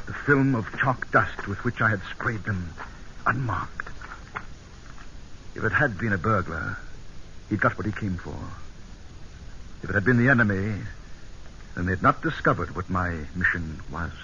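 An actor playing a brisk, thoughtful British army colonel in a radio drama, narrating and talking in a British accent. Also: male voice, on the low side, dull audio, lightly acted. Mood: tense. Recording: noisy, band-limited old radio broadcast.

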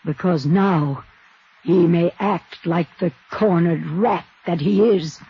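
A woman's voice: fairly low pitch, slow pace, slightly rough, full character voice. An actress playing a wealthy, shrewd old woman in a 1950s radio drama, speaking in a grand, emphatic, imperious way. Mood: menacing warning. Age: older. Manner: grand, emphatic, theatrical delivery. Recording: dull, lo-fi vintage radio recording.

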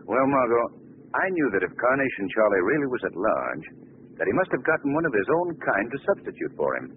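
An actor playing an urbane, assured gentleman sleuth, wry and suave in 1940s radio style. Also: mid-range voice, big pitch swings, fast, natural voice. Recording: noisy, tinny old radio broadcast recording.

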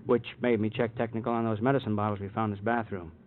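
An actor playing a chatty, good-natured married police partner in a 1950s radio crime drama, folksy and rambling. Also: male voice, on the low side, level tone, fast talker, lightly acted. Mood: matter-of-fact. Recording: tinny old radio with faint noise.

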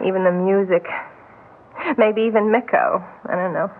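A woman's voice: medium pitch, fairly even intonation, normal speed, slight background noise, close to an everyday voice. Woman playing a chatty young waitress, old radio. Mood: weary, confiding. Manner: casual, rambling, forward. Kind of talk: old radio drama acting. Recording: tinny old radio broadcast, music behind.

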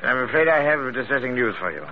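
An actor playing a theatrical, gloating gentleman blackmailer in florid, formal speech, in classic radio drama style. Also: medium pitch, lively intonation, fast talker, close to an everyday voice. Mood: cold, accusing. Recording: muffled old radio broadcast, faint hiss.

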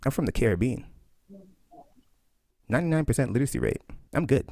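A man from Barbados, a historian and author, answering interview questions in an emphatic, animated way with quoted asides. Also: medium pitch, fairly quick, creaky, dry recording, studio quality.

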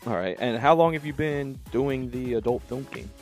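A man hosting a radio show, interviewing a guest in a relaxed, casual way and laughing often. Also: medium pitch, normal speed, faint music behind. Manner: laid-back, laughs often. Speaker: radio host.

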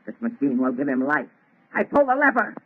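An actor playing an arrogant, obsessed scientist in an old radio melodrama, declaiming theatrically in a husky voice. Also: male voice, medium pitch, huge pitch swings, brisk. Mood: exultant, grandiose. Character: arrogant, feverishly obsessed scientist creator of a monster. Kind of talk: 1930s radio melodrama acting. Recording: tinny, band-limited old radio recording.

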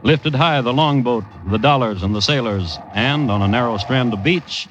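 A man narrating a scripted old-time radio story in a wry, declamatory way with deliberate rhetorical phrasing. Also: medium pitch. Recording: old radio broadcast with music behind, dull. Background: music.

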